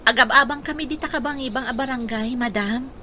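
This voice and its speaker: Humble young mother, high bright voice. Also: brisk, a little hiss or hum. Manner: polite, deferential, earnest. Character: humble, struggling single mother, street vegetable vendor. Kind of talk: Philippine radio drama acting.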